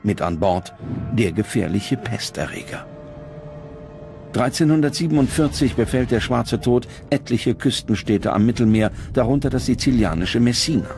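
A man narrating a documentary script in a grave, measured, dramatic way. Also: medium pitch, expressive, vocal fry, a little mellow. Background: prominent background music.

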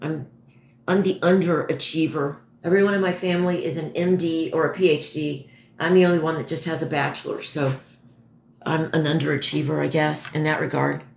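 A woman in her forties with a hoarse voice, chatting casually and haltingly as she answers viewers on a livestream. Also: sits low, fairly even intonation, slight background noise. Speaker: livestream host. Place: dim living room at home, indoors. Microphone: phone propped a step or two away. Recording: echoey room, phone at a distance.

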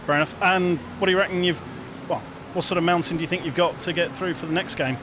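A man's voice: high voice, steady tone, fast talker. A man interviewing someone after a game for a fan channel, asking casual questions into a handheld microphone. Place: indoor sports hall. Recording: noisy venue with background ambience.

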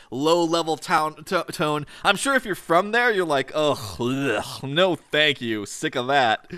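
A man casually narrating his city-building game as the host of a let's play channel, his voice a little raspy and worn, chatty and enthusiastic with plenty of fillers. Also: fairly high pitch, fairly bright tone, no room sound. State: slightly raspy, tired voice. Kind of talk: casual gameplay commentary.

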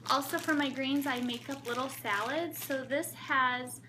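A woman's voice: high-pitched, a little slow, sharp tone, slight background noise, rough recording. A woman in her thirties, a flight attendant, chatting casually through a how-to vlog with lots of ums, recorded on a camera in a small room at home. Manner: chatty, upbeat, with frequent ums.

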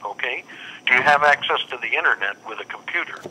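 A man's voice: medium pitch, animated, fast, sharp tone, slight background noise. A man answering a UFO reporting hotline on a phone call, patient and formal, often saying "sir" and "please".

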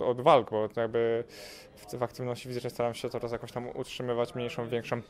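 Młody bokser, płaski głos, dużo wahań. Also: male voice, mid-range voice, darkish tone. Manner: hesitant, many fillers and repeated words. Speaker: boxer. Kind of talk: casual interview answers. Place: event press wall, indoors. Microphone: handheld mic held by interviewer.

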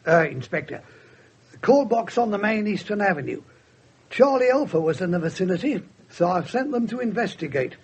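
A man's voice: sits high, very animated, a little fast, husky, full character voice. A man playing a matter-of-fact police officer in a radio drama, explaining things in a businesslike way. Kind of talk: 1970s radio drama acting. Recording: faintly noisy old radio recording.

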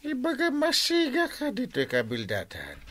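A man's voice: extremely high pitch, wildly swooping pitch, moderate pace, a bit bright, full character voice. A man playing a meddling, blustering uncle with an Ilocano accent and a stammering, exaggerated delivery in a comedy radio drama. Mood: blustering, coaxing. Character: meddling, blustering uncle giving bad courting advice.

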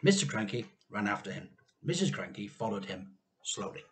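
A middle-aged man narrating a children's storybook aloud in an expressive style. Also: on the low side, moderate pace. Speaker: teacher reading a storybook aloud. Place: home living room.

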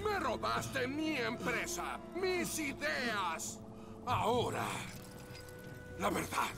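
An actor playing a bitter, vengeful older male scientist villain in a video game, with a gravelly, intense way of speaking. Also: very high-pitched, very animated, extremely slow, full character voice. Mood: accusing. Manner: accusing, intense. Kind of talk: video game dub acting. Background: game music.

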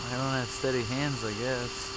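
A man's voice: mid-range voice, little pitch movement, moderate pace. A man giving matter-of-fact, casual running commentary as he films a bee removal outdoors under trees. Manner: plain, matter-of-fact play-by-play. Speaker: camera operator narrating a bee removal. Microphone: handheld camera mic. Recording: camcorder audio with clear hum and background noise.

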